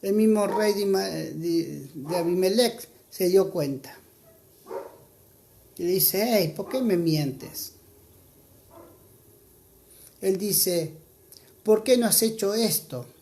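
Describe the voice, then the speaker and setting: A preacher with an Argentine accent, giving an earnest church sermon and reading scripture aloud, slowing down to stress key points. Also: mid-range voice, lively intonation, vocal fry, warm tone, slight background noise. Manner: earnest, exhorting, slows down for emphasis.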